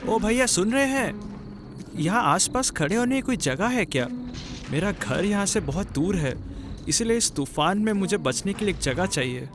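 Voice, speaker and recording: Uneasy cartoon wayfarer, male performer: young, fretful, grumbling, somewhat high pitch, clear background noise. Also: expressive, normal speed. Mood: anxious, polite. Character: uneasy young traveller stranded as a storm nears. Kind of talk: Hindi cartoon story acting.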